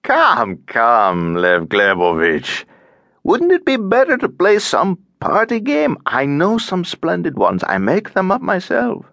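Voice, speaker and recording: A man's voice: sits high, wildly swooping pitch, slow, vocal fry, a bit bright, dry recording, voice pushed up, full character voice. A man voicing a cheerful, tiresome, garrulous elderly Russian émigré in an audiobook, droning on with over-familiar chattiness. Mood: jolly, fussy. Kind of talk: audiobook character acting.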